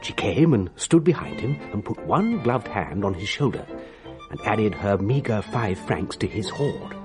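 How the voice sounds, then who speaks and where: An actor playing a genteel, fussy old bachelor gentleman in a radio drama, telling his story precisely with theatrical pauses. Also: on the low side, big pitch swings, over music. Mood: storytelling.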